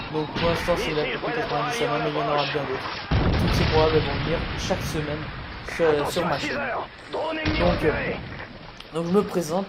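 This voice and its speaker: A man's voice: fairly high pitch, heavy background noise. A sixteen-year-old male, giving casual, stumbling and apologetic commentary on a video game. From Paris, France. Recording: loud music and game gunfire behind. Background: loud music, video game gunfire.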